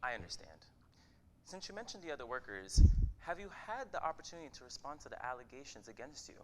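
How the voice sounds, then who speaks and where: A man's voice: medium pitch, sing-song, fast talker, a little hiss or hum, natural voice, poor audio. A man in a staged reading playing a pushy news interviewer who asks insistent, probing questions. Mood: formal, probing.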